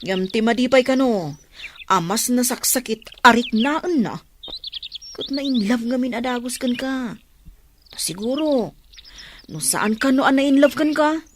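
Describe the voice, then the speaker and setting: A woman's voice: fairly high pitch, lively intonation, unhurried, long pauses. A woman playing a concerned, talkative village woman who gives frank advice in an Ilocano radio drama. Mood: earnest, frank. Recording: noisy track with birdsong and clicks.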